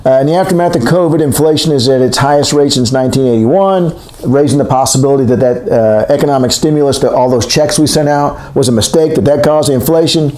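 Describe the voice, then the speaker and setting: A man's voice: medium pitch, fast, hardly any pauses, a little mellow. Rambling sixty-something male economics lecturer. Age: 60s. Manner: rambling, self-correcting, conversational. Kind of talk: recorded lecture. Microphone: recorded for a video lecture. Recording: echoey room.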